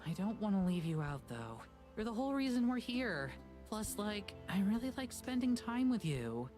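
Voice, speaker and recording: A woman's voice: mid-range voice, big pitch swings, moderate pace, darkish tone, faint music behind, natural voice. A woman voicing an emotional, awkward and defensive young woman in a visual novel game. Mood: hesitant, soft.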